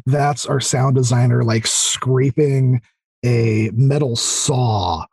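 A man's voice: medium pitch, takes it slowly, creaky, a little mellow, slightly rough, dry recording, studio quality. A man chatting casually as a podcast guest, enthusiastic and rambling, often repeating words and starting over. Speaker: podcast guest, audio drama creator. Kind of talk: casual interview chat.

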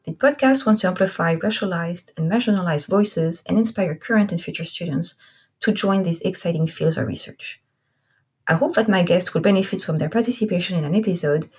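A woman's voice: lowish voice, darkish tone, breathy edge. A woman in her thirties from Corsica with a French accent, hosting a podcast, reading her intro carefully and then chatting with a guest. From Corsica, France. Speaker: podcast host. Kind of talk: scripted intro then casual interview.

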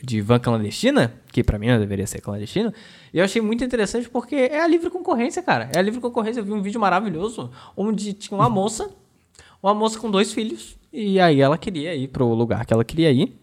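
A woman co-hosts a podcast in a mock-earnest, sarcastic tone, swearing freely as she argues. Her pitch swings widely from line to line. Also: lively intonation, quick pace, a little mellow. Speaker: podcast co-host. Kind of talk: sarcastic comedic chat.

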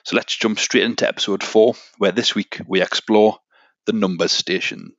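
Deep-voiced man hosting a podcast, crisp forceful tone. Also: fairly even intonation, moderate pace, dull audio. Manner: conversational, addresses listeners directly. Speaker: podcast host. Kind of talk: scripted podcast narration with casual asides.

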